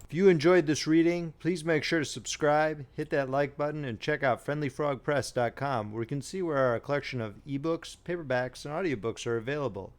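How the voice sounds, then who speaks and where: A man narrating a children's fairy tale as a scripted, expressive audiobook reading. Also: a little high, a little fast, few pauses.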